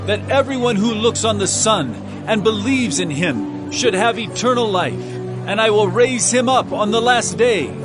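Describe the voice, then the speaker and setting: A man plays a solemn, authoritative male teacher from a Bible audio drama. His voice is raised high and moves with lively, earnest emphasis. Music plays clearly behind him. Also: unhurried, few pauses.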